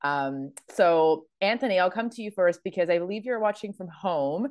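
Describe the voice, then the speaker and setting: A woman hosting a casual sports podcast, her voice hoarse and raspy from screaming, chatty with many fillers and laughs. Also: lowish voice, normal speed, darkish tone, dry recording, clean studio sound. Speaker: podcast host. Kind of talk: casual chat.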